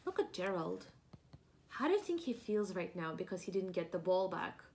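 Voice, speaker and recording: A woman's voice: on the low side, big pitch swings, normal speed, darkish tone, a little hiss or hum. A young woman doing a picture-book read-aloud for small children, expressively explaining and asking questions. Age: young adult. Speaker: preschool teacher reading aloud. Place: living room indoors.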